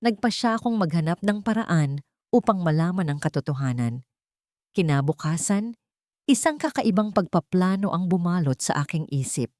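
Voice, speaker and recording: Low-voiced woman narrating a drama. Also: animated, normal speed, darkish tone, dry recording, clean studio sound. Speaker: audio story narrator. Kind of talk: scripted dramatic first-person narration.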